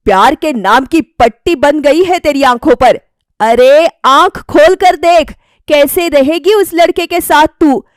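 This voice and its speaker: A stern middle-aged mother from a cartoon moral tale, acted by a female narrator. Her voice is high and forceful, scolding with scornful, emphatic questions. The sound is dry and close. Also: slow pace, no echo.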